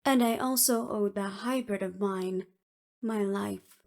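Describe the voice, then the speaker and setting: A woman's voice: mid-range voice, slow pace, vocal fry, a little mellow. A woman voicing a clever, unruffled imprisoned researcher in an audio roleplay, speaking precisely and with slight superiority. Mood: wry, agreeable. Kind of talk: scripted audio roleplay acting.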